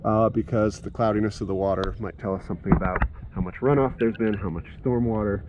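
A fairly low-voiced man in his thirties talks to an action camera held at arm's length while sitting in a kayak on a lake. His relaxed, explanatory delivery is full of fillers as he thinks aloud. Clear outdoor noise runs under the camera audio. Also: fast talker, dull audio. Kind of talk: casual outdoor demonstration.

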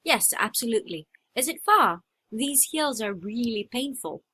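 Bright, lively young woman in scripted dialogue. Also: on the high side, moderate pace. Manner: clear, expressive delivery of everyday lines. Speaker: voice actor in listening test dialogues.

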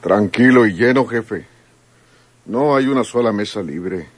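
A man playing a smug, fawning henchman with a husky voice, reporting deferentially in a radio drama. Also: lowish voice, unhurried, dry recording.

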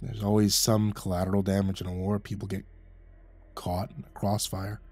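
A man voicing a bitter, boozy old male war veteran who talks in a gruff, low, sneering way over faint music. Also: little pitch movement, normal speed, darkish tone. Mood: brooding.

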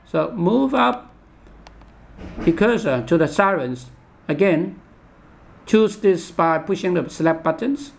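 Male product demonstrator, high-pitched with a creaky edge, halting step-by-step instructional delivery. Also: lively intonation, a little hiss or hum. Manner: halting, repetitive, instructional, with imperfect English grammar. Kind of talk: unscripted step-by-step product tutorial.

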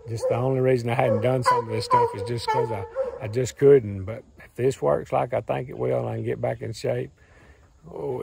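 Man, 30s, Southern US accent, hoarse, gravelly, folksy rambling vlog, phone at arm's length, outdoors in woods, background noise. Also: mid-range voice. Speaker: farm and hunting channel host. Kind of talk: casual vlog talk to camera. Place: outdoors in woods beside a truck. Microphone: phone camera at arm's length.

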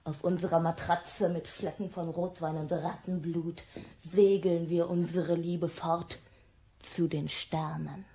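A woman's voice: fairly low pitch, little pitch movement, slow pace, mellow, slight background noise. A woman playing a volatile, lovestruck bohemian actress exasperated with her lover, theatrical and swinging between muttering and outbursts, in a German radio drama. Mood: tender, dreamy.

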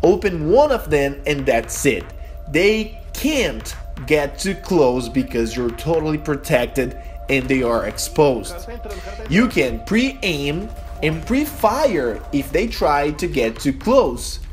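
A Brazilian-accented man whose first language is Portuguese talks through a game guide at an unhurried pace. His pitch moves up and down a lot as he explains tactics, and his phrasing is careful and earnest. Soft music plays underneath. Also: very animated. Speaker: channel host, game guide. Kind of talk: gameplay tutorial voice-over.

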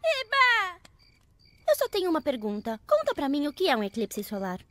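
A playful, curious child in an animated film. The voice is tiny-high and wildly animated, with faint background noise. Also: female voice, moderate pace, exaggerated voice. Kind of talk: dubbed animated film acting.

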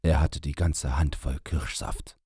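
A man reading a literary short story aloud in slow, measured narration, with a husky voice. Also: rumbling low, fairly even intonation, warm tone, dry recording, clean studio sound. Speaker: audiobook reader.

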